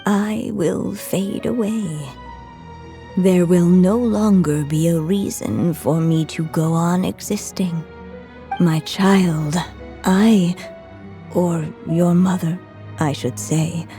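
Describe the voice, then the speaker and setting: A woman playing a gentle, resolute dead mother who lingers as a magical echo in a video game, soft-spoken, breathy and measured. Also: on the low side. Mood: tender. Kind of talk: dramatic video game voice acting. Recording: music behind the voice.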